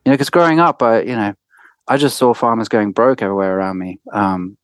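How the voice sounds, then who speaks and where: Low male guest from France, hesitant and self-correcting, dry studio sound. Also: fairly quick, no room sound. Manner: hesitant, many restarts, soft laughs. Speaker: winemaker guest. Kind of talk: conversational interview.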